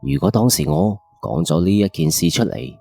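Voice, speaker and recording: A man's voice: low-pitched, animated, moderate pace, vocal fry, warm tone, slightly rough, no room sound, faint music behind. A man with a Cantonese accent narrating a first-person ghost story in a colloquial, suspenseful late-night radio style.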